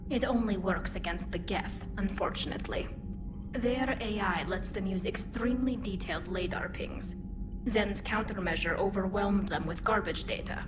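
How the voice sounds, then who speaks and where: A woman's voice: medium pitch, normal speed, close to an everyday voice. An actress voicing an earnest, hesitant young alien woman, a self-doubting admiral in a video game cutscene. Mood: matter-of-fact, regretful. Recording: roomy echo with clear background noise.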